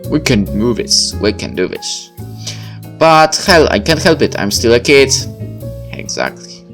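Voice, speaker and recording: A man's voice: mid-range voice, sing-song, a little slow, a bit bright, soft background music. A man reading a story game aloud and commenting on it as he plays. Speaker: gamer reading a visual novel aloud and commenting. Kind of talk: dramatic read-aloud of first-person fiction with casual asides.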